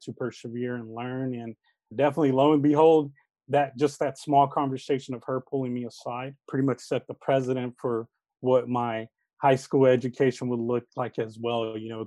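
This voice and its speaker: Teacher guest, man in his thirties, Spanish-speaking upbringing, mid-pitched mellow voice, earnest and reflective, dry close recording. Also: no room sound. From Texas, United States. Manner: earnest and reflective, many fillers like "uh" and "you know". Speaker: elementary school teacher, interview guest. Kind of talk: conversational interview answers.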